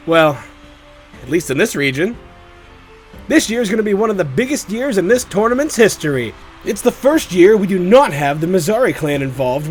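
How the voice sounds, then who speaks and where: A man voicing a cheeky, showy tournament announcer in an animated fiction, hyping fights to the crowd as a chatty showman. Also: high-pitched. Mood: hyped, showy. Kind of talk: theatrical arena fight commentary.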